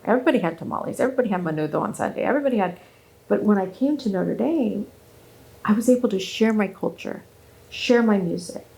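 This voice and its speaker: Woman, 50s, from Southern California, native German speaker, gravelly voice, earnest and animated, often restarting her sentences. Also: mid-range voice, low-quality recording. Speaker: guest speaker. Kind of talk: interview conversation.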